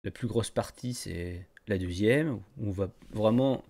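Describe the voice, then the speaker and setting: A man's voice: lowish voice, measured pace, a little mellow. A man hosting a podcast in a casual, colloquial way. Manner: informal, colloquial. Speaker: podcast host.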